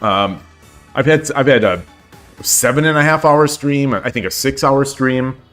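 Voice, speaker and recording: A man with a hoarse voice streaming shogi, talking casually and thinking aloud as he looks over his games, often repeating his phrases. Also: mid-range voice, unhurried, fairly bright tone, faint music behind. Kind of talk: casual game commentary.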